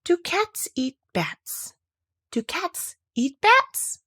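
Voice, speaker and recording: Woman acting curious little storybook girl, dreamy, chatty, earnest musing, swooping pitch. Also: huge pitch swings, drawn-out words, bright, forceful tone, dry recording, studio quality, exaggerated voice. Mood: dreamy, affectionate. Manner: musing aloud to herself, earnest. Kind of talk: storybook character voice.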